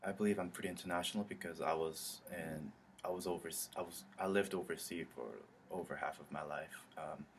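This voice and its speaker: A young man from South Korea, an international student, speaking in an interview with many pauses and restarts. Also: sits low, fairly even intonation, slight background noise. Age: young adult. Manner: hesitant, many ums and restarts. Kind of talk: interview testimony.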